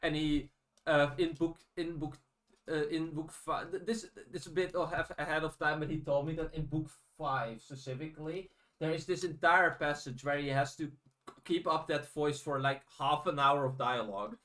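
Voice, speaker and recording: A man's voice: on the high side, steady tone, moderate pace, a bit bright. A native Dutch man speaking English as a podcast host, casual and enthusiastic.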